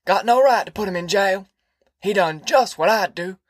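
A woman's voice: mid-range voice, moderate pace, no room sound, studio quality, voice pushed up, natural voice. Woman doing a rowdy cussing old grandpa. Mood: boastful. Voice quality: folksy rural drawl.

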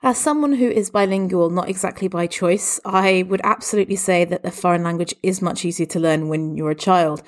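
A woman's voice: lowish voice, brisk, few pauses. A woman from Portugal, raised in England, answering questions about learning languages in a fluent, emphatic way. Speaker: interviewee. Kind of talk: answering exam questions conversationally.

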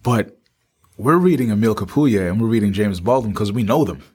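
Male podcast co-host with a low voice, conversational and emphatic, addressing the audience and doubling phrases. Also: expressive, brisk, darkish tone, breathy edge.

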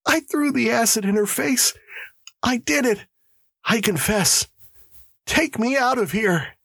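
A man's voice: very high voice, animated, slow, gravelly, a bit bright, breathy edge, no room sound, clean studio sound, voice pushed up, heavily acted. A man voicing a terrified, guilt-crazed prisoner in an audiobook, gasping and pleading incoherently. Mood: hysterical pleading. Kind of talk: audiobook character acting.

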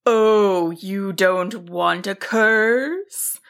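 A woman playing a mean-spirited, sly old male wizard in a storybook, with a drawn-out, menacing delivery. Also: mid-range voice, creaky, fairly bright tone. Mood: sly, ominous.

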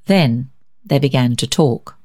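A woman reading a story aloud as scripted narration, in an expressive, measured, dramatic way. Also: extremely low pitch. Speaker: story reader.

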